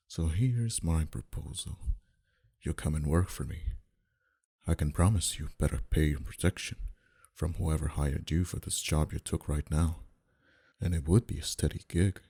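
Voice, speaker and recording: A man playing a smug, flirtatious and menacing mafia boss in an intimate ASMR roleplay, dominant and teasing, sometimes dropping to a breathy whisper. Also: heavily acted. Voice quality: very deep, dark, husky.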